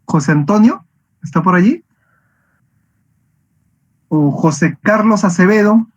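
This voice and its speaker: A man's voice: high voice, unhurried. A man teaching an online class, calling on students by name and thanking each one briefly. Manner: brief, polite acknowledgements. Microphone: video call. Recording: muffled video call audio.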